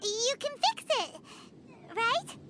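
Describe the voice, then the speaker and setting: A woman voicing a tiny, excitable, childlike female fairy companion in a video game, squeaky, perky and blunt. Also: slow pace, music in the background, exaggerated voice. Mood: coaxing. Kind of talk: scripted video game dialogue.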